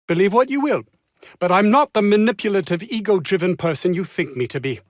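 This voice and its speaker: A haughty, ambitious scientist from a video game. His voice sits fairly high for a man and swings expressively, with fussy, formal diction and an anxious edge. The sound is dry and close. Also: animated, fairly quick, no room sound. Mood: defensive, indignant. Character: pompous, ambitious, anxious male research scientist. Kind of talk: theatrical game dialogue.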